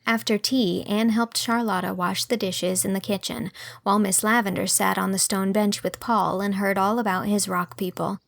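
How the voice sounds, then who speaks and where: Creaky-voiced woman narrating a storybook. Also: mid-range voice, fairly even intonation, normal speed, no room sound. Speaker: audiobook narrator and producer.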